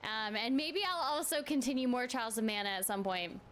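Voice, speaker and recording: A woman's voice: on the high side, expressive, fairly quick, fairly bright tone, a little hiss or hum. A woman chatting casually with viewers on a game stream, self-deprecating and full of fillers. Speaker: streamer and voice actor. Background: game music.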